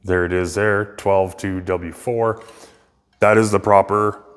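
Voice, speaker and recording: Man, lowish pitch, casual repair explainer, halting delivery, long room reverb. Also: steady tone, smooth. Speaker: speaker restorer presenting a repair video. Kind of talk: casual unscripted technical walkthrough.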